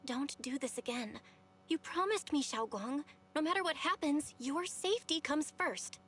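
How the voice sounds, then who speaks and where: A woman's voice: high voice, expressive, normal speed, fairly bright tone, slight background noise. An actress playing a protective, worried young female companion in a video game, with an urgent, caring manner. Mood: worried, firm.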